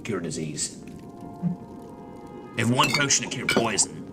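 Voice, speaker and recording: A man's voice: on the low side, expressive, measured pace. A man giving casual, profane gameplay commentary, muttering to himself as he plays. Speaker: gamer streaming a playthrough. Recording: loud music behind the voice.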